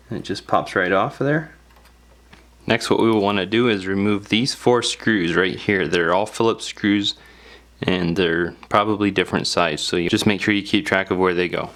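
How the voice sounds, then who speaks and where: A man's voice: on the low side, a bit bright. A man narrating a step-by-step repair tutorial in an informal, plain-spoken, explanatory way. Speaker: DIY phone repair tutorial presenter. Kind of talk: casual step-by-step instructional narration.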